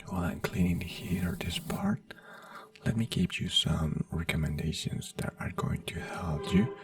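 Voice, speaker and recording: A man doing a soothing ASMR doctor roleplay in a slow whisper, with frequent fillers and phrasing. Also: a bass voice, vocal fry, darkish tone, no room sound, recorded from a distance.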